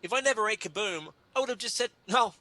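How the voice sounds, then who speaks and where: A man's voice: high-pitched, fast, bright, forceful tone. A man giving a theatrical, pompous dramatic reading of comic prose in a mock-grave manner. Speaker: reader of a humorous article.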